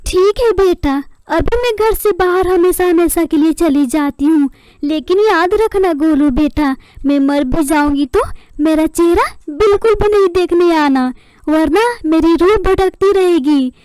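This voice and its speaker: A woman's voice: very high-pitched, unhurried, darkish tone, no room sound. A woman voicing an aggrieved, remorseful mother bird in a melodramatic cartoon, pleading and lamenting.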